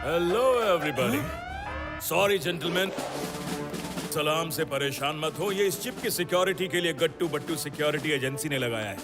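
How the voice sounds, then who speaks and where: A man's voice: fairly high pitch, moderate pace, big-room sound, over music, close to an everyday voice. A man playing a smug, self-assured official in a cartoon, explaining things in Hindi mixed with English phrases. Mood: boastful. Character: smug, reassuring male official guarding a chip.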